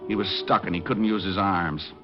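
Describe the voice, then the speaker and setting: Man playing low-voiced wisecracking private detective. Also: fairly even intonation, moderate pace, faint music behind, natural voice. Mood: wry narration. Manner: glib, dry, teasing. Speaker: lead actor and narrator in radio crime drama. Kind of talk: 1940s radio acting, hardboiled narration. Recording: muffled old 1940s radio recording.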